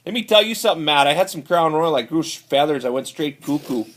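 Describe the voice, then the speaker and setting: A man's voice: fairly high pitch, animated, a bit bright. A man playing a boozy, brawling Canadian hoser with a Canadian accent, rambling and stumbling, ending lines with "eh". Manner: rambling, halting, tacks on "eh". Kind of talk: improvised comedy sketch.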